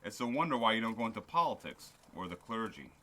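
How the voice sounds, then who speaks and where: A man's voice: on the low side, very animated, quick pace, pushed and bright. An actor playing a cold, miserly older businessman in a radio play, curt, sarcastic and dismissive. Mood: scornful sarcasm. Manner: curt, scornful, sardonic.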